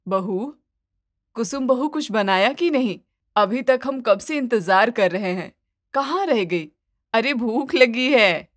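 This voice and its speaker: A woman acts out a middle-aged mother-in-law in a cartoon story. Her voice is fairly high and recorded dry, with an impatient, scolding, exasperated manner. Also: animated, takes it slowly, dry recording. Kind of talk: animated moral story dialogue.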